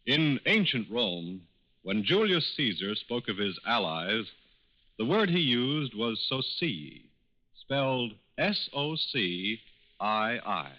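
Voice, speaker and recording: Emphatic 1940s radio announcer man, lively pitch. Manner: formal, deliberate, emphatic. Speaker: announcer delivering sponsor message. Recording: muffled 1940s radio recording.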